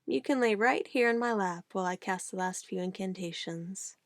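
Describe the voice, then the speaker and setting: A woman playing a sweetly menacing, possessive witch in an ASMR roleplay, cooing and coaxing condescendingly in a soft voice. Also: medium pitch, moderate pace, no room sound, clean studio sound, lightly acted. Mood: gushing, adoring. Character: possessive young-sounding witch, sweetly menacing, doting on her familiar.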